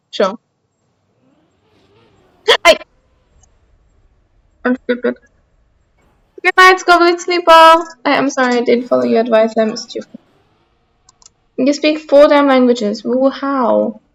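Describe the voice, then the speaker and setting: A woman's voice: high voice, low-quality recording. A woman from Austria with an Austrian German accent and German as her first language, chatting casually with her stream chat while she plays, self-deprecating.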